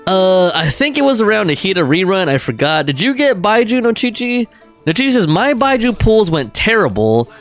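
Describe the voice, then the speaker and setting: Guy streamer reading chat out loud, super up and down voice, kinda high, bit of game music behind. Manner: chatty, reads messages aloud. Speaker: game livestreamer. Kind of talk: casual livestream chat with viewers.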